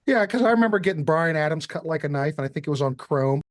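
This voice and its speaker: Higher-voiced man hosting, dry sound. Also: expressive, fast talker, no room sound. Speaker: interview host. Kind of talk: casual music chat.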